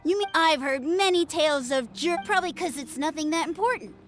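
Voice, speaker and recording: High-voiced, eager little kid. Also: female voice, expressive, a little fast, soft background music. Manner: eager, informal. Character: chirpy, eager, chatty little child. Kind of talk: video game voice acting.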